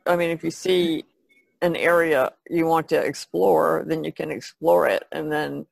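A woman's voice: deep voice, flat delivery, a little slow, creaky, no echo, low-quality recording. A woman on a podcast panel, chatting casually. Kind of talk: casual chat about art.

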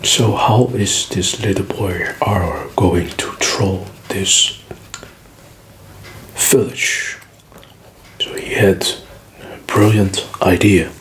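A man whispering a story softly for an ASMR video, with gum-chewing clicks behind him. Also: sits low, steady tone. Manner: soft whispering, slow and deliberate. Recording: echoey room with background noise.

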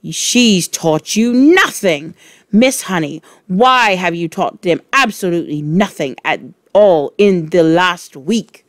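Woman acting a booming, bullying tyrant headmistress. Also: animated, takes it slowly, dry recording, studio quality. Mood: furious. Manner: barking, shouting insults. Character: enormous bullying tyrant headmistress, scornful and furious.

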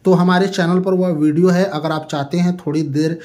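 A man's voice: sits high, steady tone, a little fast, a little mellow. A man hosting a tech tutorial, explaining an app step by step in a repetitive, instructional way.

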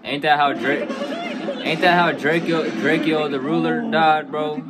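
A man's voice: on the high side, steady tone, measured pace, a loud crowd. Young man reacting, noisy recording. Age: young adult. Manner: slangy, frequent swearing, informal address. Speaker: reaction video host. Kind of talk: casual live reaction commentary. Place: small dim room at a gaming chair. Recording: noisy, game audio behind the voice.

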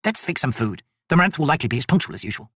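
A man voicing a teasing female soldier in a high, light, mocking voice. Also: big pitch swings, very fast, a bit bright, no echo, studio quality.

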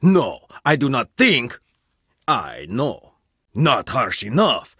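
A man's voice: mid-range voice, wildly swooping pitch, takes it slowly, fairly bright tone, husky, no room sound, clean studio sound, heavily acted. A man voicing a stern, proud archaeologist in a video game, blunt, haughty and distrustful, with dramatic swings in how he delivers each line. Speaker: game character voice.

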